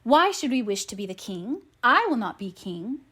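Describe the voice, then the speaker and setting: A woman's voice: a little high, big pitch swings, normal speed, a bit bright, husky, full character voice. A woman voicing a henpecked, reluctant fisherman in a mournful, pleading storybook manner. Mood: doleful, worried.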